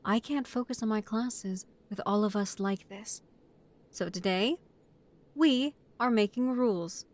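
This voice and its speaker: A woman's voice: mid-range voice, measured pace, a little mellow, natural voice. Woman acting a bossy, exasperated young vampire housemate. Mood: exasperated, stern. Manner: organized, matter-of-fact, addressing housemates like a meeting chair. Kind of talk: scripted roleplay audio drama.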